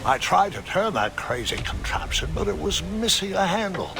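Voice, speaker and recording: A man playing a whimsical old grandfather who tells his adventures theatrically in a storybook-style game, with a gravelly voice. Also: high voice, big pitch swings, moderate pace, exaggerated voice. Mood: storytelling.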